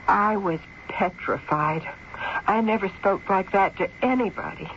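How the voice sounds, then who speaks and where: A woman's voice: mid-range voice, unhurried, a bit bright, lightly acted. An actress playing a fragile, innocent young widow from the East in an old radio drama, ladylike, hesitant and proper. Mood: sorrowful, fragile. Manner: ladylike, hesitant, wide-eyed, proper diction. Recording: dull, noisy old radio broadcast.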